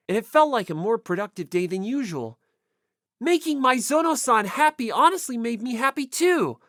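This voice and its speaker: A woman reading first-person story narration for an audiobook in an expressive style. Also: medium pitch, no echo, clean studio sound.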